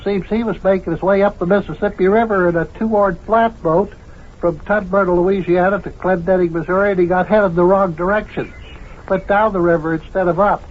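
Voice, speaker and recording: An actor playing a folksy, rambling tall-tale spinner and joker in an old-time radio comedy. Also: male voice, sits high, steady tone, brisk. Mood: rambling, amused. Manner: drawling, rambling, folksy ungrammatical speech. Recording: dull, lo-fi old radio recording.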